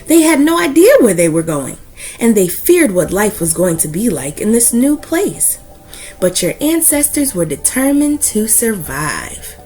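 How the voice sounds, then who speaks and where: Expressive woman reading a storybook aloud, music behind. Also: mid-range voice, unhurried. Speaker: read-aloud host.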